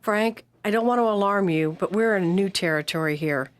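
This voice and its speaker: Woman playing a no-nonsense, mid-pitched doctor. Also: moderate pace, lightly acted. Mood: patient. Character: brisk, patient, no-nonsense woman emergency doctor. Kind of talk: old-time radio drama acting.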